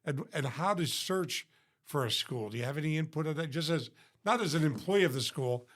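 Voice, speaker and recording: A man's voice: fairly high pitch, lively intonation, fairly quick, fairly bright tone. A man hosting a podcast interview, musing aloud and putting questions to his guests. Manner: conversational, prompting questions. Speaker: podcast host. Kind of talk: interview host.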